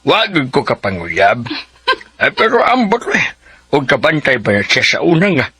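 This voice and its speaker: A man's voice: mid-range voice, huge pitch swings, moderate pace, pushed and bright, slightly rough, dry recording, heavily acted. An actor with a Cebuano accent playing a teasing older father in a colloquial radio drama. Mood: teasing, wistful. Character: teasing older father gossiping about his sister-in-law.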